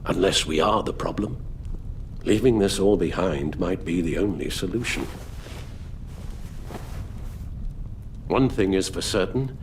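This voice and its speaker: Weary elderly butler, expressive delivery. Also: male voice, sits low, a little hiss or hum. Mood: weary, resigned. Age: older. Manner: measured, formal, earnest. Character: weary elderly male butler, guilt-ridden, grave, fatherly. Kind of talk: dramatic video game acting.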